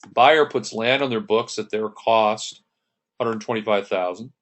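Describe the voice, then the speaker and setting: Guy with a lowish voice teaching accounting, methodical, step by step, clears his throat sometimes. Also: a little slow. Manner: methodical, walks through entries step by step; clears throat now and then. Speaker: accounting instructor. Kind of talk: lecture over slides.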